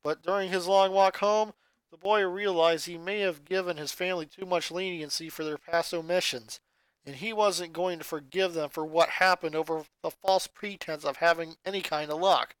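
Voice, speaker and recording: A fan fiction reader narrating a story dramatically with an expressive delivery. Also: mid-range voice, moderate pace, clean tone, no room sound, rough recording.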